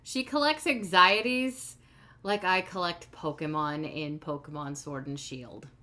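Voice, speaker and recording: A woman with a bright, forceful voice who talks bluntly and sarcastically. She hosts a reaction video and pauses clips to comment on them. She sounds openly skeptical. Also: on the low side.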